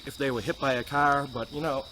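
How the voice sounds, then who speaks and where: A man hosting a paranormal investigation, musing about ghosts and calling out loudly to spirits on a wooded road at night. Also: steady tone, fairly quick, rough recording. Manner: calls out loudly to spirits, otherwise low and musing. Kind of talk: casual narration while ghost hunting. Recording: night outdoor noise, crickets.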